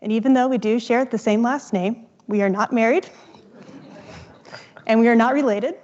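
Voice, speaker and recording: Upbeat woman pitching her startup. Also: normal speed, a little mellow, dull audio. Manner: upbeat, emphatic lists. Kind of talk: live pitch on stage.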